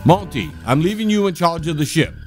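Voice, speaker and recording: A self-important space captain, voiced by a man, brisk and commanding. It is a comic sci-fi audio drama, with clear music behind the voice. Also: on the high side, expressive, lightly acted.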